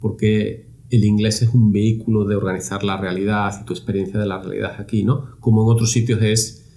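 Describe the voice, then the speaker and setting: A middle-aged man from Spain answers interview questions at a thoughtful pace. His voice is low and gravelly, with a mellow, dark tone. He often circles back and repeats words while he works out his phrasing. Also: level tone, brisk. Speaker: university lab director, guest.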